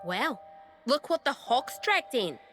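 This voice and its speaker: A woman's voice: sits high, sing-song, takes it slowly, a bit bright, faint music behind, heavily acted. A woman playing a brash, cocky young rebel leader in a video game, blunt, slangy and mocking. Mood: teasing, cocky. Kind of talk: video game cutscene voice acting.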